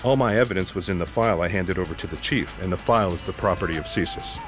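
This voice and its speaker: A man's voice: low voice, fast talker, close to an everyday voice. A man playing a disillusioned, wry ex-secret agent, dry and wordy, in a comic sci-fi audio drama with music behind. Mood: resigned, sardonic.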